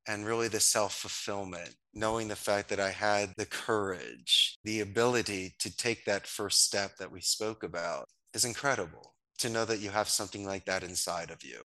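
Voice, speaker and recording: A man from Northeast Philadelphia, a business owner guesting on a podcast, talking in a casual and animated storytelling way. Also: poor audio. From Northeast Philadelphia, USA. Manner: animated, storytelling, quick jumps in pitch when amused. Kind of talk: casual interview talk.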